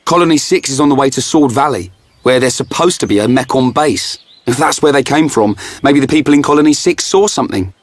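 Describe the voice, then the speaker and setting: A man's voice: medium pitch, a little fast, natural voice. A man playing a cocky, loyal young sidekick in a video game, breezy and colloquial. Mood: practical, eager. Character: cocky, loyal young male sidekick, plain-spoken and upbeat. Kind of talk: video game cutscene acting.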